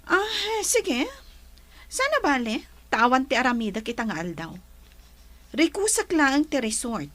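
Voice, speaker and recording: Earnest young Ilocano madam played by a woman, high and lively, romantic radio play lead. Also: measured pace, exaggerated voice. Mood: concerned. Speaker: actress in radio drama. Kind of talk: Ilocano radio drama acting.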